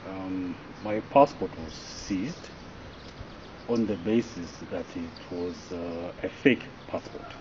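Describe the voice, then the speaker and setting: A middle-aged man, recounting a past experience slowly and deliberately, outdoors. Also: sits low. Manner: slow, deliberate telling. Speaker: interviewee. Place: outdoor garden. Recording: lo-fi outdoor sound with noise.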